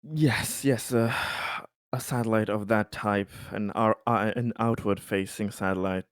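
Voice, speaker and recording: A cautious colony official played by a man in a tabletop roleplaying game weighs each point haltingly. His voice is fairly low and very clear, recorded dry and close. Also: fairly even intonation, no echo, lightly acted. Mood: measured, apprehensive. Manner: hesitant, halting, deliberative delivery. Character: cautious, budget-minded male colony council member. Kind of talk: improvised in-character tabletop roleplay.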